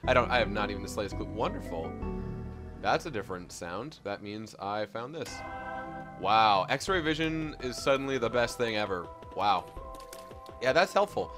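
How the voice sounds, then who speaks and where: A man's voice: fairly low pitch, expressive, moderate pace. A young man hosting a let's play, chatting casually and self-deprecatingly with many restarts while he plays. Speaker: gaming channel host. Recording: game music playing behind.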